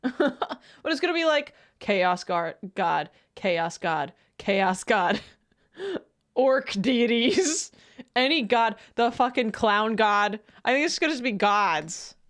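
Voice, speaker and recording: A woman streamer reacting to a video in casual, joking commentary, excitable and swearing freely. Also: on the high side, takes it slowly.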